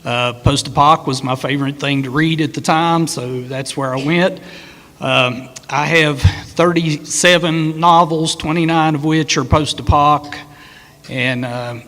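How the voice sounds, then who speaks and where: A man with a bright, lively edge and a slightly high voice runs a conference panel in a relaxed, joking way. His voice carries the long reverb of a big hall. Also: measured pace. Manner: relaxed, joking, with frequent fillers. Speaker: panel moderator.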